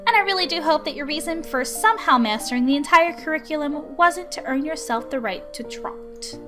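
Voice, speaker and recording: Visual novel tutor: high-pitched, measured, courteous woman playing a scholarly young teacher, music underneath. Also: expressive, fairly bright tone. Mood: exasperated, determined. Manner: polite, measured, earnest. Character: patient, formal woman researcher acting as substitute tutor. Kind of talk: visual novel character reading.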